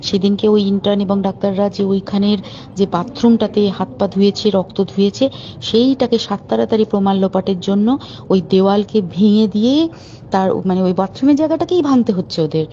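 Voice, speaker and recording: A woman's voice: medium pitch, a little fast, mellow. A woman on a private phone call, talking informally and urgently with open indignation. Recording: muffled phone call recording with faint hiss.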